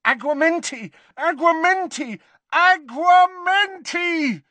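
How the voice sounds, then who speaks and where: A man's voice: extremely high pitch, sing-song, slow, a bit bright, breathy edge, no echo, voice pushed up, heavily acted. A man voicing a desperate, frightened teenage boy wizard in an audiobook, urgent and breathless, with a cracking voice. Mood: frantic. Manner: urgent, coaxing repetition.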